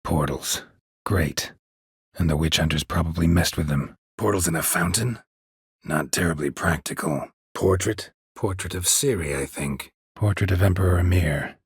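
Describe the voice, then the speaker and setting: A gruff, world-weary monster hunter for a video game. His voice is very low and heavily rasped, recorded dry and close. He speaks in terse, deadpan phrases with a stoic temper and dry understatement. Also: moderate pace, no room sound, heavily acted. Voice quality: gravelly, husky rasp. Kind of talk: video game character dialogue.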